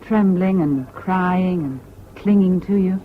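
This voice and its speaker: A woman's voice: on the low side, takes it slowly. An actress playing a bitter, scornful, fiercely independent British woman in a 1970s radio drama, with a cutting, sardonic manner. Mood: weary, wry. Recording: dull, noisy old radio recording.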